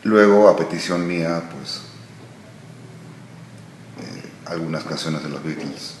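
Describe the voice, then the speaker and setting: Deep-voiced Argentine-born man, slow and reflective. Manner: slow, thoughtful, trailing off with many pauses. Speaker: musician guest. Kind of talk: relaxed interview answers. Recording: echoey room with noise.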